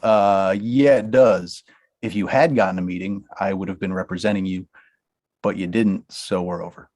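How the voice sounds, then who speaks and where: A man's voice: low-pitched, fairly even intonation, moderate pace, a little mellow, lightly acted. A man reading the part of a blunt, money-minded talent manager in a comedy table read. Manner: brusque, dismissive, laughs through lines.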